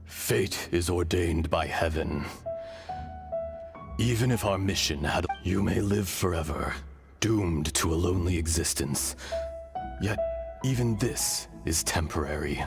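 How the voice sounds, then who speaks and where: An age-old dragon spirit from a video game, very deep and slow. The delivery is solemn and fatalistic, in archaic phrasing, over background music. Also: male voice, vocal fry. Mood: grave, fatalistic. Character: ancient male elemental dragon spirit, grave and fatalistic. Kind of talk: scripted video game dialogue. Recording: game audio with music behind.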